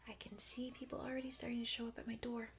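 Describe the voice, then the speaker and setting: A woman voicing a bossy, know-it-all cartoon girl in a breathy, soft-spoken ASMR roleplay, close to the microphone. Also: fairly high pitch, little pitch movement, rapid-fire, slight background noise, lightly acted. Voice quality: breathy whisper.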